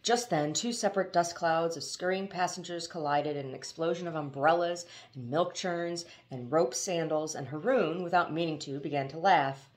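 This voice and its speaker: A woman reading a novel aloud as expressive storybook narration. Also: deep voice, moderate pace, few pauses. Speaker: audiobook reader.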